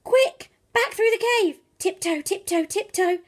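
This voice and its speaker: A woman reading a children's storybook aloud in an expressive, animated way, drawing out her words and playing up the sound effects. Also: very high-pitched, measured pace. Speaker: primary school teacher reading a bedtime story.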